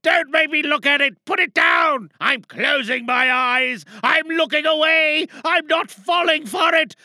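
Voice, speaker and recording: A stern female space captain played by an amateur actress, gripped by paranoia. Her high voice is piercing and forceful as she commands and pleads in slow, weighty phrases, like a desperate leader in an audio drama monologue. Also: dry recording, full character voice. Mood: desperate, pleading. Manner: pleading, commanding, theatrical outbursts.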